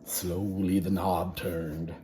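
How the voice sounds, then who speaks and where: A man reads a picture book aloud in a teacherly way. His voice is fairly low and soft-edged, and he takes his time with expressive, dramatic pauses. There is faint noise in the room behind him. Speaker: teacher reading a storybook to children. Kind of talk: read-aloud storybook narration with asides.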